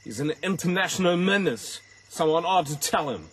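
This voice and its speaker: An actor playing a brash, joking young tourist, breezy and sardonic in radio drama dialogue. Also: male voice, a little high, lively intonation, normal speed, slightly rough. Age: young adult.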